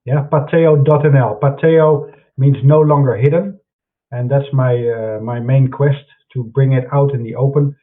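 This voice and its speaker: A man's voice: mid-range voice, fairly even intonation, moderate pace. Dutch man on a tinny line. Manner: hesitant, many fillers and restarts. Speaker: online broadcaster presenting his websites and books. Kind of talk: casual talk during an online broadcast. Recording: phone-like narrow sound.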